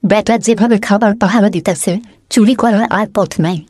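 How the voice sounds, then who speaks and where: A woman's voice: medium pitch, fairly quick, background noise, natural voice. A woman voicing an eager, cheeky youngster in a children's cartoon. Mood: sly.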